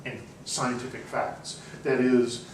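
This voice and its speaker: Professor type lecturing to a seminar class, mid-pitched, pauses and restarts, recorded from the back of an echoey room. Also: male voice, level tone, far from the mic, slight background noise. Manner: discursive, with frequent ums and restarts. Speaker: university lecturer. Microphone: far room recording.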